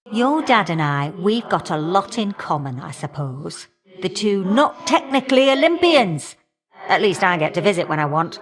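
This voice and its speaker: An actress voicing a warm, feisty old great-aunt fire goddess for a video game, with folksy, teasing, old-fashioned talk. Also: female voice, medium pitch, natural voice. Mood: reflective, frank. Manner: folksy, teasing, old-fashioned turns of phrase, calling listeners dearie. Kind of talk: video game character acting.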